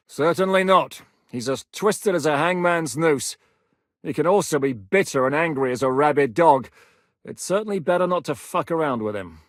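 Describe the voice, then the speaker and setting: Gruff hardened war captain, blunt and sweary, slightly high voice, dead dry studio sound. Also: male voice, a bit bright, no echo. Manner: gruff, blunt soldier's talk with frequent swearing. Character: battle-hardened male mercenary captain, blunt and commanding. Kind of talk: game voice lines.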